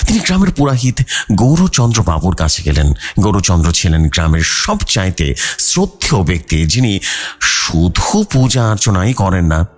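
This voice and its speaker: A man narrating a horror story as its host, in a suspenseful, dramatic storytelling manner. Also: deep voice.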